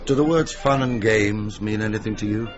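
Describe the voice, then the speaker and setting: Man acting jaded british gag writer, middle aged, lowish voice, chatty and wry, bit of music behind, radio play. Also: normal speed, highs cut off, natural voice. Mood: world-weary. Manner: wry, world-weary, chatty. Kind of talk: naturalistic radio comedy drama acting.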